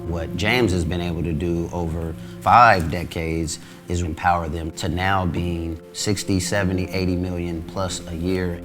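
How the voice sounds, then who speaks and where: A man giving a measured, formally worded interview testimonial. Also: low voice, fairly even intonation. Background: music.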